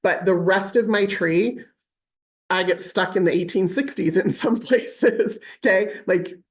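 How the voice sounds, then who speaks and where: A woman presenting an online webinar, explaining things casually with everyday examples, fillers and asides. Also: on the low side. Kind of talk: conversational online teaching.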